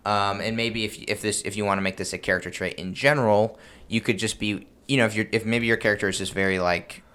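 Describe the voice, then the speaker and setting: A man's voice: fairly low pitch, steady tone, fast, a bit bright, clean tone. A man hosting a podcast, explaining things in a casual, chatty way. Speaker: podcast host.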